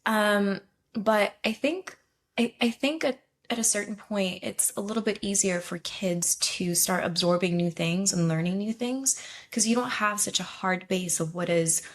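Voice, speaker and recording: A young adult woman from Ukraine, a travel consultant, answering interview questions in a relaxed, hesitant way with many ums and restarts. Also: medium pitch. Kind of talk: casual interview conversation.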